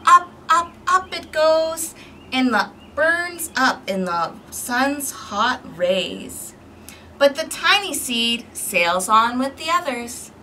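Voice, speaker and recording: Woman, children's storytime host, bright animated delivery, expressive and engaging with young listeners, miked from a distance outdoors. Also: high voice, takes it slowly. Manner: expressive, clearly paced, questioning as if to young children. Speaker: storytime host for a community service. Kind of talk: interactive children's picture book read-aloud. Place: outdoor balcony. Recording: distant mic with outdoor background noise.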